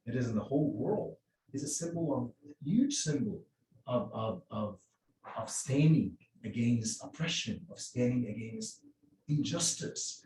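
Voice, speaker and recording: A middle-aged man from Egypt speaking on a panel in English, with many halting restarts and fillers. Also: medium pitch, animated, dark tone. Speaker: mosque outreach volunteer. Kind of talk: panel discussion. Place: event room. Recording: roomy distant sound with faint noise.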